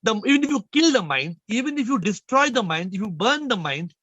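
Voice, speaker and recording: A man with a fairly high voice leads a spiritual talk over a video call. He is emphatic, repeats himself and puts questions to listeners. The sound is dry and a little muffled. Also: sing-song, fairly quick, sharp tone, no room sound. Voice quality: slightly low, subdued voice today. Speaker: spiritual teacher hosting a call.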